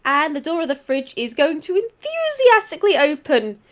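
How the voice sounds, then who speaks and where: A woman improvising present-tense narration as a player in a role-play story, gushing and expressive. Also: high voice, normal speed.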